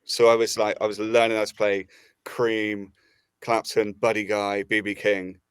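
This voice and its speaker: Low-voiced man, dry sound. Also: measured pace, clean tone, dry recording. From United Kingdom. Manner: relaxed storyteller, hesitant fillers. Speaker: band member guest. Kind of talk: casual chat.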